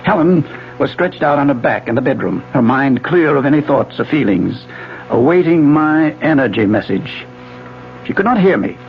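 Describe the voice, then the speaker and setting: An actor playing a suave, condescending middle-aged husband and researcher in a 1970s radio drama, talking in a polished, lecturing way. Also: male voice, mid-range voice, animated, normal speed, gravelly, faint music behind. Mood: intent, lecturing. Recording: muffled 1970s AM radio broadcast.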